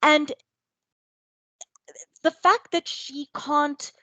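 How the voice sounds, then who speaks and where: Woman, slightly unwell, hesitant, slow speech, dry recording. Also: high voice, steady tone, a bit bright, no echo. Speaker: cast member. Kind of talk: panel discussion.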